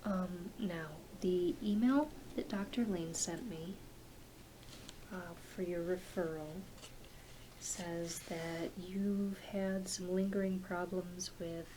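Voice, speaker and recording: A woman playing a kind, reassuring doctor in a soft-spoken ASMR roleplay, talking in a gentle, hesitant, conversational way. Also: fairly low pitch, fairly even intonation, takes it slowly, a little hiss or hum, rough recording. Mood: kind, welcoming. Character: kind, reassuring doctor who treats werewolves.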